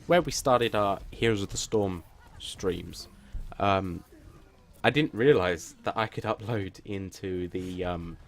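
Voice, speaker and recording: A man with a somewhat low, plain and flat voice talks casually to his viewers about his gaming channel. He rambles and hesitates, restarting sentences often. He keeps running himself down as he goes. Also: slow pace. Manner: self-deprecating.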